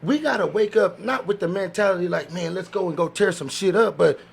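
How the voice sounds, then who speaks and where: A man's voice: high-pitched, brisk, gravelly, breathy edge. A man on a discussion panel chatting casually, slangy and anecdotal, with some swearing. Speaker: podcast panelist. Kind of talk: casual chat.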